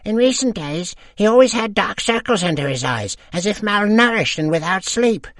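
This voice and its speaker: A knowing, confiding church lady, as a man acts her out talks in a high falsetto with a thin, altered timbre. The sound is close and dry, like a storybook reading. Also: expressive, normal speed, a bit bright, no echo. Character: middle-aged gossiping woman, soft and knowing.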